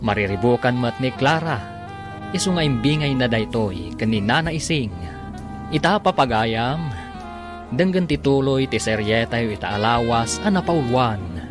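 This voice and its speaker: A man's voice: mid-range voice, lively intonation, moderate pace. A man narrating a scripted radio drama as its announcer, with a formal, dramatic delivery. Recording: music behind the voice.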